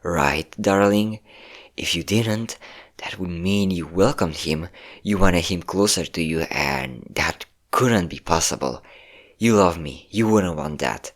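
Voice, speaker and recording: A low-voiced, obsessive ex-boyfriend, acted out by a man in an audio roleplay. He is manipulative and possessive, coaxing his lover with pet names while an undercurrent of menace runs through his words. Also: lively intonation, slow pace, heavily acted. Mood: coaxing, controlled. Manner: coaxing, menacing, calling listener pet names, nervous chuckles. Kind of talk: scripted ASMR-style audio roleplay.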